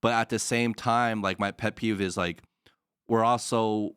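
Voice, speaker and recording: A man's voice: on the low side, steady tone, unhurried, fairly bright tone, clean tone, dry recording, studio quality. A man co-hosting a podcast in casual chat, speaking earnestly and filling his sentences with "like". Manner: earnest, heavy use of "like". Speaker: podcast co-host. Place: studio in Shanghai.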